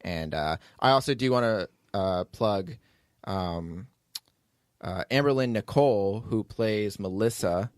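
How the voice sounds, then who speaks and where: A man's voice: on the low side, takes it slowly, no room sound, studio quality. A man hosting a podcast in casual unscripted chat, with a hesitant, rambling, informal way of talking. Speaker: podcast host, audio drama writer and producer.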